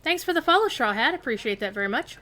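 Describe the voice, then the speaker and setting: A woman's voice: high voice, animated, quick pace, pushed and bright. An American woman hosting a livestream of an online game, chatting casually with viewers and fellow players. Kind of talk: casual live gaming chat.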